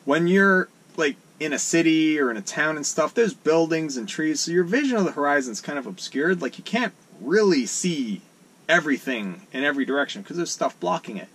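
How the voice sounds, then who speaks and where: Husky-voiced man in a boat cabin. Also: on the high side, expressive, fairly quick, a bit bright. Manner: mock-earnest, talks with his hands. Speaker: sailing vlogger. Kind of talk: deadpan satirical chat. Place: small wooden boat cabin. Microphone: camera in front at close range.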